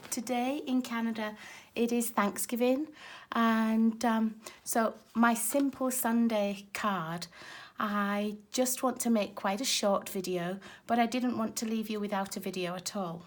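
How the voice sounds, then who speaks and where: Hoarse woman demonstrating card making. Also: fairly high pitch, a little slow. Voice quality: raspy. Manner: chatty and explanatory, talking while working with her hands. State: hoarse from a cold. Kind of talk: casual crafting tutorial. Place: craft table at home, filmed from overhead.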